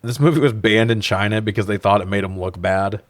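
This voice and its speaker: A man with a fairly low voice chats casually as a podcast co-host reviewing films. He is joking and profane, often laughing through his words. The sound is close and dry, with very little room to it. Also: fairly quick, no room sound. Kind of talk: casual comedic film review chat.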